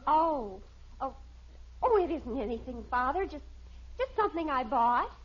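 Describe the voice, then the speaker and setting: An actress playing a flustered, evasive American teenage daughter in a 1950s radio sitcom, hesitant and plaintive. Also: female voice, high-pitched, very animated, measured pace, a little hiss or hum. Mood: evasive, sheepish. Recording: dull old radio recording.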